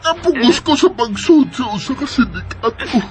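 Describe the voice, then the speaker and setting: Volatile gang-boss older brother, Bisaya accent, high swooping overwrought voice, radio melodrama, loud music. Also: male voice, expressive, normal speed. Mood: anguished remorse. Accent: Filipino Bisaya. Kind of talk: Philippine radio drama acting.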